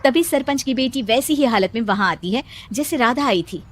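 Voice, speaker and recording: A woman narrating a storybook cartoon in a steady storytelling manner. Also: on the high side, fairly quick.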